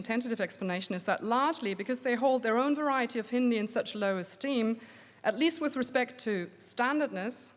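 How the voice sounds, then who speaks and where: Give me a woman presenting research in a lecture hall, mid-pitched and measured, with a long hall reverb. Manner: measured, reads findings with frequent um fillers. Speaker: linguistics researcher giving a plenary talk. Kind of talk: academic conference lecture.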